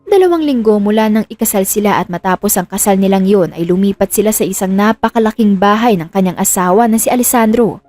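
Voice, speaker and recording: A woman's voice: medium pitch, fast talker, a little mellow, dry recording. A woman reading scripted narration of a romantic drama as the storyteller. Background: music.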